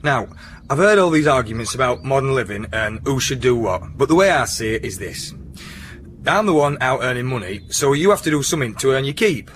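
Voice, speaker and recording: An actor playing a plain-spoken, domineering working-class boyfriend with a Yorkshire accent in a radio drama. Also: male voice, mid-range voice, expressive, a little fast, fairly bright tone, slight background noise, close to an everyday voice. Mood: bossy, grumbling. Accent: Northern English, Yorkshire. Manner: matter-of-fact, bossy, plain-spoken.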